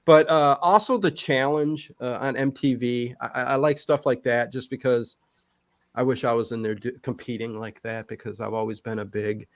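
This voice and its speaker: A man's voice: mid-range voice, steady tone, moderate pace. A middle-aged man chatting casually as an interview guest, talking emphatically and repeating words to stress his points. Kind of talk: casual chat.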